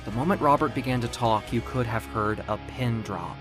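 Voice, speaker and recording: A man's voice: medium pitch, normal speed, music in the background. A man reading scripted, dramatic fiction as the narrator of an audio story.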